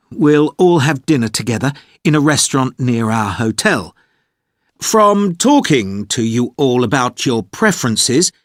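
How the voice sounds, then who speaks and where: Tour organiser giving a polite scripted briefing, measured, very up and down intonation, dry sound. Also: male voice, on the high side, dry recording. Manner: measured, clear, politely explanatory. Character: organised, courteous male tour leader briefing a theatre group. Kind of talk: scripted informational monologue for an English listening test.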